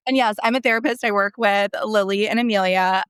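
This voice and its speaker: An American woman in her early thirties speaks as a podcast guest at a medium pitch. Her delivery is casual and wandering, with points repeated for emphasis and corrected midway. The sound is dry and close. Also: fairly even intonation, a little fast, a bit bright, clean tone, dry recording. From San Diego, California, United States. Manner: rambling, self-correcting, emphatic repetition. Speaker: guest, therapist. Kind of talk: casual conversational interview.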